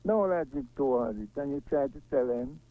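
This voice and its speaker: A man's voice: mid-range voice, lively intonation, moderate pace, no echo. A man with a Jamaican accent chatting casually in an earnest, agreeable way, heard through a tinny, narrow recording. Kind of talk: casual chat. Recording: tinny, phone-like narrow sound.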